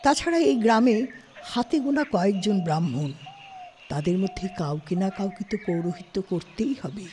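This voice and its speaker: Give me a woman story narrator with a very animated, varied pitch, reading literary prose, with faint noise and birdsong behind her. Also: normal speed. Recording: studio, faint background noise.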